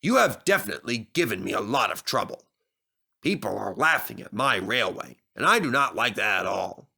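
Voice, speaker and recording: A man voicing a stern gentleman railway controller in a children's storybook, formal and admonishing. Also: on the low side, sing-song, moderate pace, gravelly, slightly rough, heavily acted. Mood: stern, forgiving.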